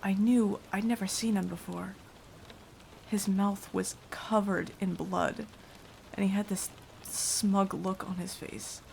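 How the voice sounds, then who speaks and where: A woman's voice: medium pitch, steady tone, gravelly, a little hiss or hum. A woman playing a frightened, self-sacrificing young victim, telling of a vampire attack as dramatic ASMR roleplay. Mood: fearful, haunted. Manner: hushed, dramatic, deliberate storytelling.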